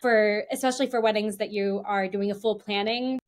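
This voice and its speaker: A woman's voice: medium pitch, fairly even intonation, fairly quick. A woman, hosting a casual podcast interview. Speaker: podcast host. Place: indoor room on video call.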